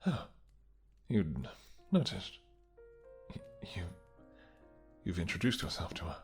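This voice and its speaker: A man playing a tender, regal vampire king in a romantic fantasy audio roleplay, speaking softly and courteously with a halting delivery. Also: low-pitched, very animated, faint music behind, exaggerated voice. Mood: tender, hesitant. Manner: soft, courtly, hesitant, halting phrasing. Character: tender male vampire king, winged, regal and devoted lover.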